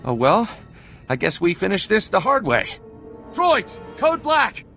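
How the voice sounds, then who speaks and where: A man playing a sly, greedy smuggler and forger in a video game, boastful and scheming, with a creaky voice. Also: high voice, huge pitch swings, unhurried, bright, forceful tone, soft background music, heavily acted. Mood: threatening. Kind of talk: video game character acting.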